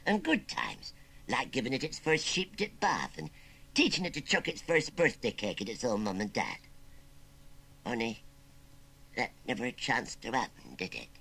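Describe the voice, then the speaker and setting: An old scarecrow uncle from a children's storybook, with a West Country burr. The voice is rough and bright, rising and falling freely. He rambles in a folksy, put-upon, plaintive way. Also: on the high side, big pitch swings, normal speed, voice pushed up, exaggerated voice. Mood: mournful, wistful. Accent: English West Country.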